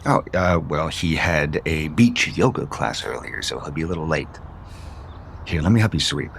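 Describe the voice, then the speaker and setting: A man's voice: a bass voice, animated, normal speed, vocal fry, fairly bright tone, a little hiss or hum, heavily acted. A male actor playing a guarded, conflicted adult son and unhappily married father in an audio soap opera. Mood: hesitant. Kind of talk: naturalistic soap opera acting.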